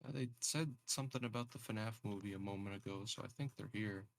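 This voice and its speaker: A man's voice: lowish voice, a little fast, gravelly, no echo. Wry man, game player, dry close mic. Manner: wry, joking. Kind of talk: casual joking game chat.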